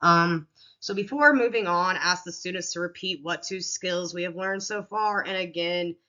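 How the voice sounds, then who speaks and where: A woman teacher with a deep voice talks casually through a classroom lesson plan, with many fillers and restarts. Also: normal speed, pushed and bright, dull audio.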